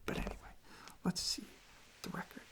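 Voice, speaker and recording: A soft-spoken ASMR host rambling casually in a gentle, hesitant, self-deprecating way, with a breathy, husky, whispery voice close to the microphone. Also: normal speed. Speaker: host of a relaxation channel showing records.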